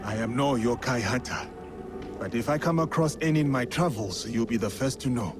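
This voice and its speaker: Male actor as honorable warrior, video game, composed, earnest, medium pitch. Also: expressive, normal speed, music in the background, lightly acted. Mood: thoughtful. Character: steadfast, honorable male samurai warrior, calm and loyal.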